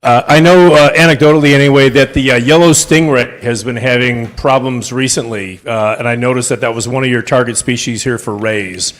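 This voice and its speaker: A man in the audience asking a direct question, loud, with fillers. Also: mid-range voice, a little fast, few pauses. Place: lecture hall audience.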